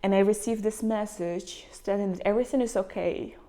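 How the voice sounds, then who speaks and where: A young woman with a Ukrainian accent, telling a personal story to camera in a halting, emotional way, close to tears. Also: medium pitch, fairly even intonation, warm tone, faint music behind. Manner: emotional, halting, near tears, with many pauses and fillers. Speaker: vlogger.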